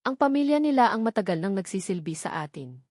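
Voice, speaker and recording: A woman voicing a gentle, grave older wealthy father, soft-spoken and heavy-hearted. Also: medium pitch, sing-song, brisk, dry recording, studio quality.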